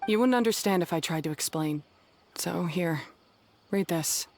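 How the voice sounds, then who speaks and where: A woman's voice: on the low side, moderate pace, a little hiss or hum, lightly acted. A woman voicing a proud, guarded young female rival in an anime game, cool and curt. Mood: bitter, subdued.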